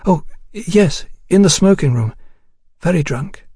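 A man's voice: high voice, animated, unhurried, mellow, husky, no room sound, studio quality, voice pushed up, full character voice. A man voicing an anxious, placating young London woman in an audiobook, blurting her words in a nervous, insistent way. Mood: startled, hesitant.

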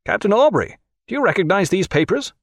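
A man voicing an officious, excitable interrogator with a pompous, fussy, formal manner in an audiobook. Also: high-pitched, a little fast, dry recording, studio quality, pitched above the performer's own voice. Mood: pressing.

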